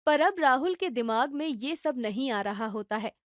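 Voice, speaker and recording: A woman reading scripted storybook narration for a children's cartoon in an even storytelling way. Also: sits high, normal speed, no room sound, studio quality.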